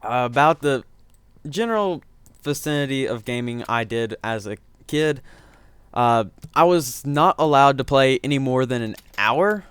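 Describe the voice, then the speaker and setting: A man's voice: mid-range voice, lively intonation, measured pace, a bit bright, clear, resonant tone, no echo. A man giving rambling, self-correcting let's-play commentary, his voice strained from getting sick. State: voice strained from illness. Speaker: gaming commentator.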